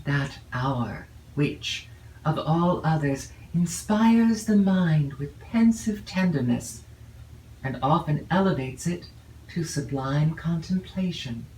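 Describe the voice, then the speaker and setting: A woman reading a classic novel as an audiobook narrator, in a measured, expressive way with drawn-out phrases. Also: low-pitched. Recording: roomy, distant mic with faint noise.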